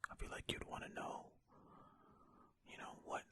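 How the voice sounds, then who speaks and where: An ASMR host whispering stories and casual, musing commentary in a breathy, unvoiced voice, close to the microphone. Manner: unhurried, musing, informal. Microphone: close ear-to-ear ASMR microphone.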